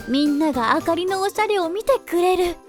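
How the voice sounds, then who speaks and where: A woman playing a lively teenage schoolgirl idol in an anime-style voice drama, self-assured, feminine and playfully scolding. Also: very high-pitched, a little fast, loud music behind the voice. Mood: persuasive. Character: lively teenage schoolgirl pop idol, sociable, teasing friend.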